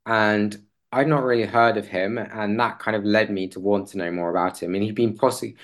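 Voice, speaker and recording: A man speaking as an interview guest in a conversational way, hesitant and halting, often rephrasing as he goes. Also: lowish voice, steady tone.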